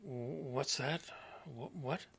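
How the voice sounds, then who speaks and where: A man's voice: mid-range voice, extremely slow, vocal fry, a bit bright, husky, heavily acted. An actor playing a forgetful, stubborn elderly father in an audio drama, with a folksy, stammering, muttering manner. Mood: defensive.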